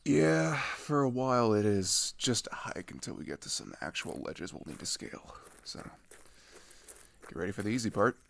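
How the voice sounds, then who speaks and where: A man playing a friendly, chatty teenage migrant boy in an ASMR roleplay, talking casually and haltingly with pauses and sighs. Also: on the low side, very animated, creaky, a bit bright, heavily acted. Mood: easygoing, teasing. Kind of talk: ASMR roleplay, one-sided conversational acting.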